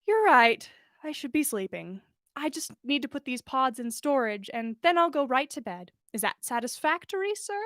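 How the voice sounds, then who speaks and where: A woman's voice: high-pitched, very animated, normal speed, fairly bright tone, dry recording, studio quality. A woman voicing a hungover young wizard man, good-natured but wry and grumbling, in a story read aloud. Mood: exasperated. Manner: exasperated, wry mock-grumbling.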